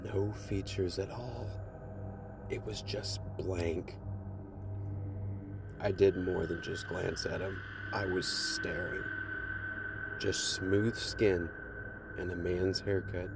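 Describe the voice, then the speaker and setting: A man hosting a podcast, reading creepy first-person stories aloud in a measured, deliberate narration. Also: deep voice, fairly even intonation, vocal fry. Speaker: podcast host and reader. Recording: music under the voice. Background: background music.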